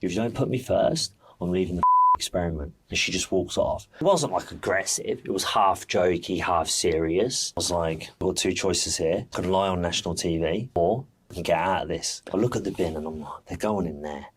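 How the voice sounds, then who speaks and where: A man's voice: sits low, fairly quick, background noise. A man speaking casually as a reality TV interview guest, candid and colloquial, retelling conversations as he goes. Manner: candid, colloquial storytelling with quoted dialogue.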